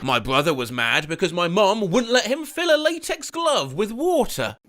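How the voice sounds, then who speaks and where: A man in his thirties narrating comic stories about toddler meltdowns, animated and jumping into shrieking kid voices. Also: sits high, moderate pace. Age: 30s. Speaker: comedy video creator. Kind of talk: comedic narration of reader stories.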